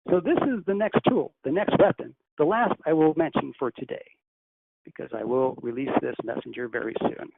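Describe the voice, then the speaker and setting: A man speaking as a channeled spirit teacher, guiding a breathing meditation in a slow, deliberate, instructive way. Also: fairly high pitch, creaky, no room sound. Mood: teaching. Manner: slow, deliberate, instructive, softly hushed in exercises. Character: ascended master spirit, calm, commanding teacher. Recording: tinny phone line, faint hiss.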